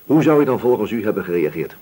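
A man acting a thoughtful, suspicious police inspector in a radio drama, speaking hesitantly with musing pauses. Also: lowish voice, big pitch swings, warm tone, husky. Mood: probing, suspicious.

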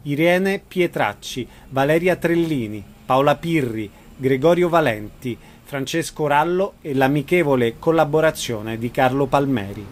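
Guy with a highish voice reading radio credits, scripted. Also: expressive, measured pace, few pauses. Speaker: radio announcer.

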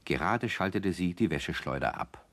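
A man's voice: low voice, animated, moderate pace, fairly bright tone. A man narrating a scripted children's story as the storyteller of a radio play.

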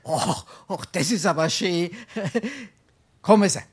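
A man's voice: high voice, takes it slowly, slightly rough, heavily acted. A man playing a roguish, boozy sponger in a comic dialect play, with a Hessian Darmstadt accent and a hammy, wheedling delivery. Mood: scheming, wheedling. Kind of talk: comic dialect stage acting.

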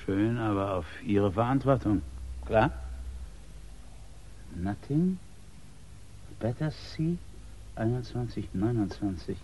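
Brusque police sergeant with swinging intonation. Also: male voice, lowish voice, lively intonation, slow pace, pauses often, darkish tone, a little hiss or hum. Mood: gruff, sceptical. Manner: brusque, dismissive, curt. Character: gruff, sceptical English police sergeant on night desk. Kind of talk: 1960s German radio crime drama acting. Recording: dull 1960s radio recording.

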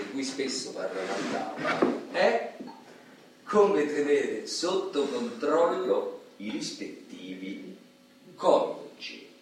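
A man playing an educated professor who narrates in a radio drama, deliberate and literate, with halting phrasing. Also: medium pitch, distant mic. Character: educated male professor, narrator among Roman suburban folk. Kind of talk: stage-style radio drama monologue and dialogue. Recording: echoey hall from afar, hissy.

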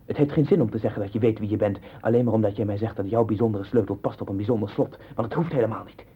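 An actor playing a troubled, obsessively questioning man with a husky, breathy voice in a 1960s radio play. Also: on the low side, very fast. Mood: intense, insistent. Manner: insistent, probing questions and fevered monologue. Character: troubled, searching man sinking into obsessive doubt. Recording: dull 1960s radio recording.

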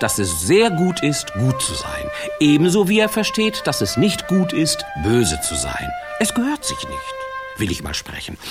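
An actor playing a quirky fairy-tale storyteller in a children's radio play, rambling and talking straight to the listener in a whimsical way. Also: male voice, medium pitch. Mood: musing. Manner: whimsical, digressive, addressing the listener directly.

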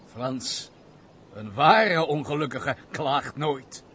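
An actor playing a curt, cynical gentleman in a radio play, a bitter stranger who distrusts people. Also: mid-range voice, animated, extremely slow, slight background noise. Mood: sceptical.

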